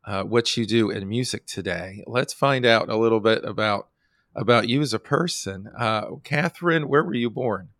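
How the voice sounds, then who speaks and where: A man's voice: fairly low pitch, normal speed, fairly bright tone, dry recording, studio quality. A man hosting a podcast, chatting in a friendly way and sometimes stopping to hesitate with filler words. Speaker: podcast host. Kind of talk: casual interview.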